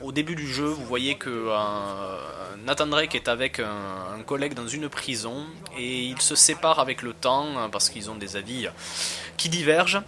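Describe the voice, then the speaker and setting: A man's voice: medium pitch, normal speed, bright, forceful tone. A man giving casual gameplay commentary as a reviewer, talking in a slangy, hesitant, rambling way. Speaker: video game reviewer.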